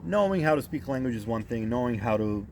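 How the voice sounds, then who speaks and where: A man in his thirties from Croatia, answering interview questions in a relaxed way and often saying "you know" and "right?". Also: medium pitch, a little fast, a little hiss or hum. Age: 30s.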